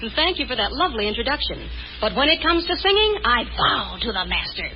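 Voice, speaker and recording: A woman's voice: fairly high pitch, very animated, brisk. A woman singer trading scripted banter in 1940s radio style. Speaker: show singer. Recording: muffled, hissy old radio recording.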